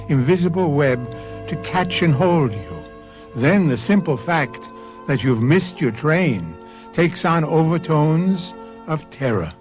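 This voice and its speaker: A man introducing an old radio mystery in a slightly high voice, with soft music underneath. His pacing is measured and his tone turns ominous. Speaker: host and narrator. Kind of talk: dramatic radio narration.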